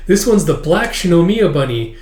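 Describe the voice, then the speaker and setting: A man's voice: fairly high pitch, sing-song, moderate pace, a little mellow, voice pushed up. A man voicing a mischievous, smug little girl from a rich household in a manga read-aloud, childish and eager in manner. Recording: echoey room.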